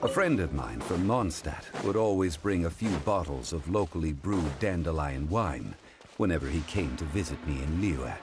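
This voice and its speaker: An actor playing a composed, worldly gentleman in a video game, speaking in a formal, measured, refined manner. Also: sits low, exaggerated voice. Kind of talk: video game character acting. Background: game music.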